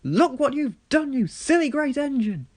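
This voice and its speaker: A furious railway yard manager, acted by a man, bellowing and scolding. The storybook voice is loud and pushed up high with temper. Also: very animated, measured pace, heavily acted.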